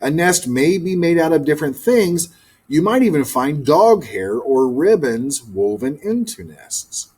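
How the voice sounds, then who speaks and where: A man narrating a children's book in an expressive read-aloud style. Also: a little high, unhurried, breathy edge. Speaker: storybook reader, teacher.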